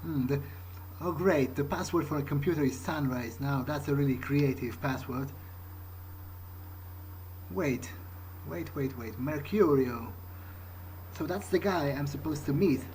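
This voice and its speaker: Halting, slow-talking rueful young vampire played by a man. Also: fairly high pitch, a little mellow, slight background noise, lightly acted, low-quality recording. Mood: dry, puzzled. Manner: halting, rambling, musing with dry sarcasm. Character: rueful, bewildered newly turned young male vampire. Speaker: gaming role-player. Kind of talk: in-character improvised game monologue, thinking aloud.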